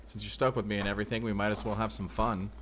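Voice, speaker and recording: A man's voice: fairly low pitch, level tone, quick pace, background noise, natural voice. A man playing a young Spanish vampire slayer, a teasing and tender protector speaking intimately in an audio roleplay. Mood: playful, reassuring. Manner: speaks closely to one listener, teasing and soothing.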